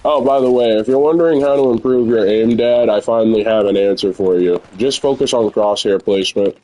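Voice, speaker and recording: Young guy talking while gaming, kinda low voice, loud and rambly, lots of ums. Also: a little fast, rough recording. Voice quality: naturally loud voice. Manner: rambling, candid, filler-heavy. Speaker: gamer streamer. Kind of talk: casual gameplay commentary.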